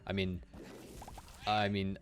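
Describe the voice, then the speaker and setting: A young man from Wales with a general British accent and a low, deep voice. He keeps up a chatty, relaxed gameplay commentary, laughing often as he talks to his viewers from a room at home. Faint game music sits quietly behind him. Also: slow pace. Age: young adult. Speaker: game streamer.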